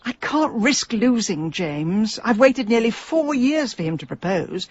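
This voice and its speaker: A husky, middle-aged English businesswoman in a radio comedy-drama. She is stubborn and sardonic, with lively swings of pitch and a brisk, exasperated manner. The audio is a little dull. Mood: insistent.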